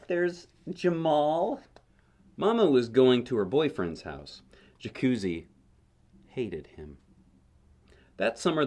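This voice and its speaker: A man's voice: fairly low pitch, a little mellow. A man reading a children's picture book aloud as a parent would, conversationally, with casual asides and questions to the listener. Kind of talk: storybook read-aloud with chatty asides.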